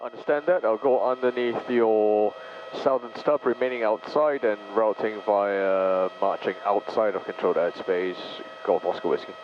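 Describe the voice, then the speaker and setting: A man, a private pilot, making courteous, measured procedural radio calls on a headset in a small aircraft. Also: on the low side, fairly even intonation. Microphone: aviation headset. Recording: muffled cockpit audio with engine noise.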